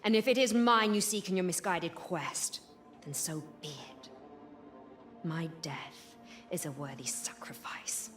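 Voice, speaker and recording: A woman portrays a defiant Indian-accented rebel leader in a dramatic game scene. She speaks in a lowish register, formal and imperious, with faint music underneath. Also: fairly even intonation, measured pace, close to an everyday voice. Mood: defiant, grave. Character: proud, resolute Indian rebel queen, grave and defiant. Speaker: video game voice actor. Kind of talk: dramatic video game cutscene acting.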